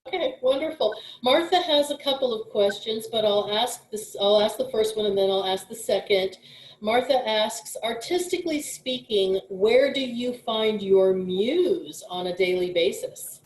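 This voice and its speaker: A woman's voice: mid-range voice, a little slow, roomy sound, a little hiss or hum, rough recording. A woman hosting an online art talk, relaying audience questions to her guest. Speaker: host, museum curator.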